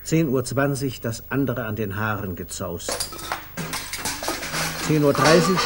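An actor playing a wry narrator of comic chaos in a 1950s German radio play, with theatrical, mock-dramatic, clipped announcements. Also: male voice, a little high, very noisy, close to an everyday voice.

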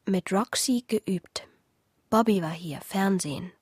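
Slow, husky teenage diarist. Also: female voice, medium pitch, darkish tone, dry recording, studio quality. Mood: private, reflective. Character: Nancy, teenage girl reading out her diary. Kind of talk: radio drama monologue.

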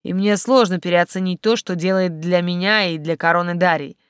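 A woman's voice: fairly low pitch, steady tone, moderate pace, smooth, no room sound, clean studio sound, natural voice. A woman voicing a grave, commanding king in an audiobook. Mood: solemn. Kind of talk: audiobook character acting.

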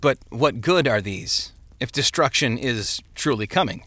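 A man's voice: mid-range voice, expressive, a little slow, a bit bright, dry recording. A man voicing a burdened young husband in an audiobook story, earnest, subdued and weary. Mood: gloomy, earnest.

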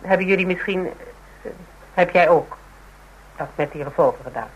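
An actress as a caring woman who probes and exclaims in a radio play. She is expressive and quick to be appalled. The recording carries a faint noise. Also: fairly low pitch, dull audio, natural voice. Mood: probing, upset. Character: caring, curious, easily appalled woman in a couple. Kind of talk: Dutch radio drama acting.

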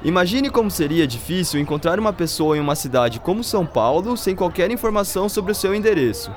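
A man's voice: high voice, lively intonation, fast talker, faint music behind. A man playing a patient science teacher in a scripted educational radio drama, explaining step by step with questions. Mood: patient, explanatory. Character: patient male science teacher chatting with his students.

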